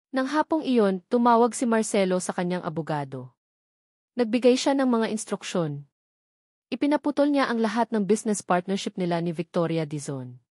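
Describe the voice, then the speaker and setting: A woman's voice: medium pitch, a little mellow, dry recording, studio quality. A woman with a Filipino accent narrates a scripted romance story in a dramatic storytelling style.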